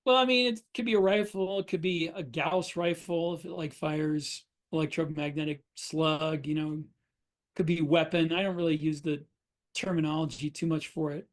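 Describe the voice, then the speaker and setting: A man with a fairly high voice talks casually as a podcast host. He explains his craft in an informal, conversational style. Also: fairly even intonation, moderate pace. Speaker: podcast host and fiction writer. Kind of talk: casual shop talk.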